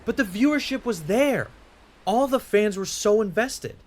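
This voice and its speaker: An actor playing a suspicious, insistent podcaster in a naturalistic audio drama, pressing and probing for a hidden truth. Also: male voice, sits high, expressive, unhurried. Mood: agitated, pleading.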